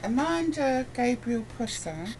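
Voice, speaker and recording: A woman's voice: fairly high pitch, very animated, unhurried, over music. A woman guest in casual, opinionated chat, speaking bluntly and emphatically and repeating phrases to press her point. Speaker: interview guest. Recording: clear background noise, ambient sound.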